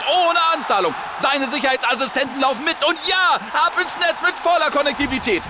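Very high-voiced man shouting a sales ad. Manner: shouting hard-sell. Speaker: ad announcer. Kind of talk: loud sales commercial.

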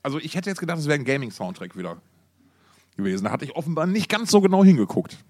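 A man co-hosting a podcast in casual, colloquial chat, thinking aloud with halting phrasing. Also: a little high, very animated, a little mellow, no room sound, studio quality. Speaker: podcast co-host. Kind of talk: casual chat about music.

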